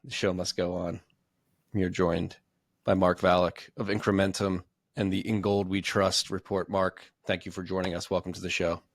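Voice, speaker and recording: A man hosting a podcast, introducing a guest in a relaxed, conversational way. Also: sits low, moderate pace, no echo, clean studio sound. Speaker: podcast host. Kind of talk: casual interview hosting.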